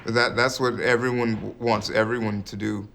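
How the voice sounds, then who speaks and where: A man's voice: lowish voice, level tone, moderate pace, vocal fry, over music. A man in a sitcom scene, explaining things earnestly and getting flustered. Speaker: sitcom character. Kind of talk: sitcom acting.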